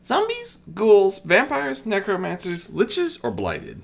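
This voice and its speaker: A performer doing a gracious sun princess, swooping pitch. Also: huge pitch swings, slow pace, creaky, exaggerated voice. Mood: assured. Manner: polite, formal, articulate. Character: gracious princess of the sun, magical mare, eager warrior.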